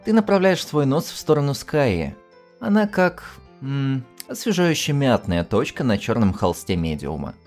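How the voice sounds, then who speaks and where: Casting: male storyteller for scripted webcomic narration, medium pitch, very lively intonation, music underneath. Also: moderate pace.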